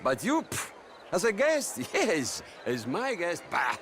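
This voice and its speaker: Theatrical Italian crime boss, swinging pitch. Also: male voice, huge pitch swings, slow, background noise, exaggerated voice. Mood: expansive, sly. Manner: theatrical, hesitating, scornful. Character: smug, volatile Italian crime boss, mocking and grandly condescending. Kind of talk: video game cinematic acting.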